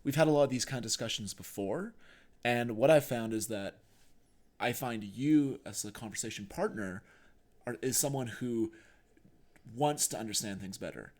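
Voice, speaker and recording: A man's voice: mid-range voice, normal speed, darkish tone. A man co-hosting a podcast, talking in a casual but earnest way. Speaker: podcast co-host.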